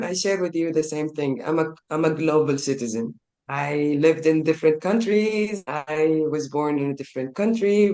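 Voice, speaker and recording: A woman's voice: deep voice, warm tone. A woman speaking as a guest at an online business summit, effusive and earnest, over a video call.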